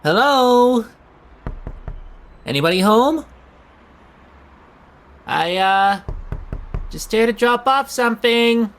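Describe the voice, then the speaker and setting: A woman's voice: on the high side, expressive, takes it slowly, pauses often. A woman playing an awkward, nervous young job seeker in an audio drama, hesitant, stammering and rambling. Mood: tentative. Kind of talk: naturalistic audio drama acting.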